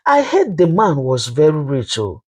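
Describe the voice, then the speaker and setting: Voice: medium pitch, huge pitch swings, normal speed, darkish tone, breathy edge. A storyteller with a Nigerian accent voicing gossiping old village women, knowing, pitying and confiding.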